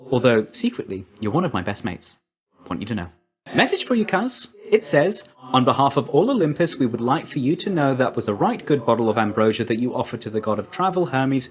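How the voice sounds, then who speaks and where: A man's voice: medium pitch, close to an everyday voice. A man voicing a breezy, chummy young messenger god in a video game, with a slangy, glib, friendly manner. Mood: breezy, businesslike.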